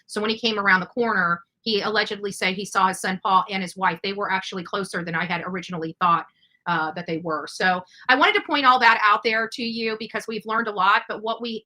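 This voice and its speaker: Woman, bright voice, livestream host, true-crime commentary, halting, repetitive, emphatic. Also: medium pitch, rough recording.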